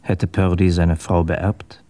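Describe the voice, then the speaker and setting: An actor playing an inquisitive, doubting junior police colleague in a German radio crime drama, puzzled and questioning. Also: male voice, sits low, little pitch movement, slow pace, dark tone, no room sound. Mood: puzzled, probing.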